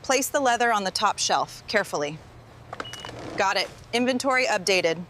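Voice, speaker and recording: A woman's voice: mid-range voice, expressive, normal speed, over music, close to an everyday voice. A woman playing a diligent factory inspector reporting checks in scripted workplace dialogue, with factory noise behind her. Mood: businesslike. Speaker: factory worker.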